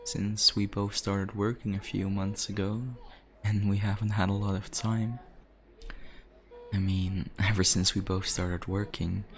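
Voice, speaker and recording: An actor playing a caring young adult brother comforting his sister in an ASMR roleplay, speaking gently and hesitantly with soft, breathy chuckles. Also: low voice, flat delivery, unhurried, faint music behind. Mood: wistful, sincere.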